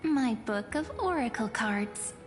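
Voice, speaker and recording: A woman's voice: on the high side, lively intonation, a little slow, slight background noise. A woman playing a teasing, fond young fortune-telling girl in a video game. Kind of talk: video game character acting. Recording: long hall reverb, faint music.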